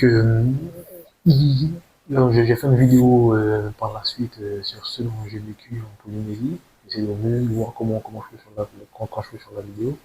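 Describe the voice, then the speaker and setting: A young man from talks through his thoughts in an unscripted monologue. His delivery is halting and earnest, with frequent repeated words and emphatic bursts. The pitch is mid-range for a man, and a light hiss runs through the amateur recording. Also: dark tone, muffled recording. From French Guiana. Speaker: video creator telling his own story.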